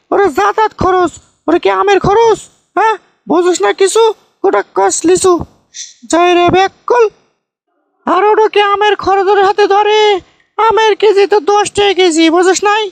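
Very shrill, creaky village auntie. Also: little pitch movement, a little slow, no echo, heavily acted. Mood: scolding. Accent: northern Bangladeshi (Bogra). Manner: scolding, repetitive, folksy. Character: bossy scolding village aunt, boastfully foolish, middle-aged woman. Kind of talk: comic cartoon dialogue, rambling storytelling.

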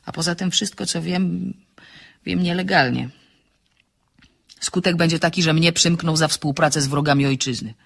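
A woman giving an expressive reading of a novel, acting out the dialogue, in a husky voice. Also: low-pitched, normal speed, long pauses.

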